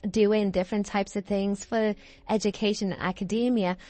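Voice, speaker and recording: A young British woman in her early twenties talks casually, like a video creator rambling to her audience. Her voice sits at a middle pitch for a woman. She is chatty and digressive, often correcting herself mid-thought, and the sound is dry, with very little room echo. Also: steady tone, normal speed, mellow. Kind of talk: casual rambling chat over gameplay.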